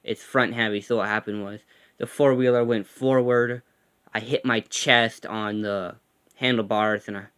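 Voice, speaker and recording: A young man with a slight lisp from a gap in his front teeth, talking casually and a little haltingly in a couple's vlog. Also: on the low side, steady tone, fairly bright tone, clean tone. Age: young adult. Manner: halting, stammers at times. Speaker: vlogger. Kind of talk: casual chat.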